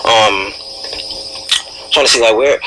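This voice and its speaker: A man hosting a conversational radio interview, wordy and halting as he searches for the way to put his questions. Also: on the low side, noisy background. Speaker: radio show host, interviewer.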